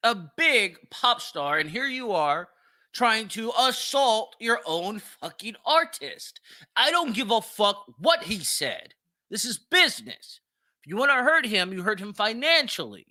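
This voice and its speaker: Very bright, high-pitched American man ranting. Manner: blunt, profane, slangy; rhetorical questions and repetition for emphasis. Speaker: podcast host. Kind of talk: opinionated solo commentary on celebrity news.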